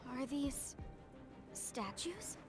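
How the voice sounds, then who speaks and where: Tiny game fairy: childlike, high-pitched, squeaky, inquisitive, exclamatory. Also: female voice, big pitch swings, slow, music in the background, full character voice. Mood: curious. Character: tiny childlike fairy companion, curious and indignant. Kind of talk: video game voice acting.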